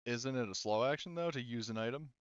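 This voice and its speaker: Smooth-voiced man game master, slightly muffled. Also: mid-range voice, fast, no echo. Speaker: game master of a tabletop roleplaying game. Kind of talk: casual rules talk and narration during a tabletop game.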